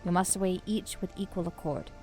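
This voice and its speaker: A woman playing a measured, dignified ranger leader who speaks deliberately and formally in a fantasy audio drama. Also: fairly low pitch, darkish tone, faint music behind, natural voice. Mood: grave, guarded.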